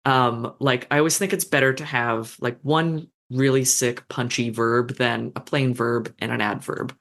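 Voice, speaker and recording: An author being interviewed, talking casually and reflectively about craft in a halting, thoughtful way with some slang and swearing. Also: medium pitch, fairly even intonation, fairly bright tone.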